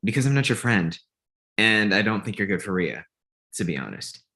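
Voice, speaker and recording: A man's voice: fairly low pitch, sing-song, normal speed, gravelly, pushed and bright, full character voice. A man playing a blunt, condescending and coolly antagonistic friend in a naturalistic comedy table read. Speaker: actor in a screenplay table read.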